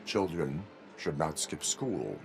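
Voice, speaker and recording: An actor playing a stern robotic cyborg police officer in a video game, speaking formally in a clipped, deadpan way. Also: male voice, a bass voice, takes it slowly, creaky, over music, heavily acted, low-quality recording. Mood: flat, official. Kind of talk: video game cutscene acting.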